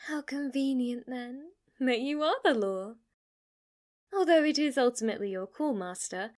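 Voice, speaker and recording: An obsessive demon maid, played by a woman in an intimate ASMR roleplay. She is flirty and submissive, speaking to her master with reverent, fanatical devotion and slipping at times into breathy whispers. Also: on the high side.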